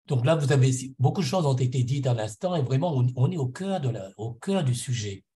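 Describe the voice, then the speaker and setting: A middle-aged man from Réunion island speaks at a conference with a warm, mellow tone, sharing his own spiritual experience. He is earnest and reflective, often hunting for the right word and repeating himself mid-thought. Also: medium pitch, animated, brisk. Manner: searching, hesitant, restarts and repeats words. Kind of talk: personal spiritual testimony at a conference.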